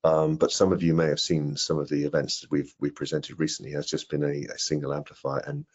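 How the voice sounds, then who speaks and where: Male product presenter, middle-aged, extremely deep and husky, slightly lo-fi video call sound. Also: level tone, brisk. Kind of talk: product training presentation with question and answer. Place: indoor room on a video call. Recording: dull, lo-fi video call audio.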